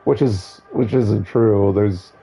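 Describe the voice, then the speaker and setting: A hoarse man losing his voice, giving casual, opinionated, digressive commentary on a video game. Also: on the low side, slow, mellow, faint music behind. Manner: opinionated, digressive, many restarts.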